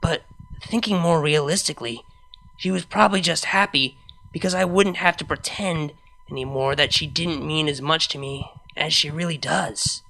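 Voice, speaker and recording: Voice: medium pitch, gravelly, sharp tone, rough recording. A reader voicing an anxious, lovestruck young female pony DJ in a hesitant, introspective first-person fan fiction monologue. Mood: guilty, frustrated.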